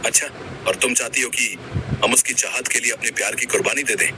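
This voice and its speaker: A man's voice: medium pitch, fairly even intonation, a little fast, noisy background, natural voice. An actor playing an earnest young college man in love, with a dramatic, romantic manner in a cartoon story. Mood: hurt, pleading. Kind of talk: Hindi cartoon story voice acting.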